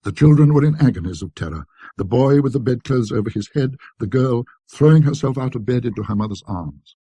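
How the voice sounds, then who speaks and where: A man narrating a classic ghost story in a precise, scholarly, measured manner with dramatic pauses. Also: medium pitch, lively intonation, dark tone, husky, no room sound. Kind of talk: scripted ghost story narration, classic British literary reading.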